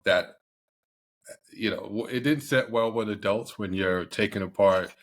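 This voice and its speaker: A man's voice: lowish voice, a little slow. A middle-aged man from Barbados, a company head as podcast guest, talking casually with many fillers and restarts. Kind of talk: casual interview.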